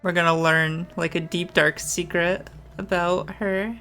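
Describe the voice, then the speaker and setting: A woman doing casual, playful gameplay commentary, chatty and often halting in her asides. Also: fairly low pitch, level tone, sharp tone, faint music behind. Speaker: gameplay streamer. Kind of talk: casual playthrough commentary with read-aloud game text.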